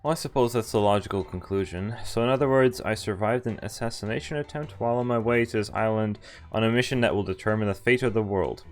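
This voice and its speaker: A man's voice: lowish voice, fast. A man streaming a visual novel, reading its dialogue aloud and commenting casually. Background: game music.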